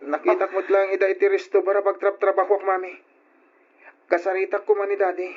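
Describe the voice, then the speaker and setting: A man's voice: high voice, monotone, fast. A man with an Ilocano accent playing a troubled, earnest young son and older brother in old radio drama style. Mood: grave, apologetic. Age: young adult. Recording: dull lo-fi old radio recording.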